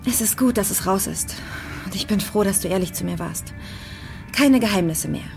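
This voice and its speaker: A woman's voice: medium pitch, moderate pace, natural voice. Headstrong young woman, played by an actress, music behind. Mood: confiding. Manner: candid, headstrong. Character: defiant young woman longing for freedom. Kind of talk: German crime audio drama acting.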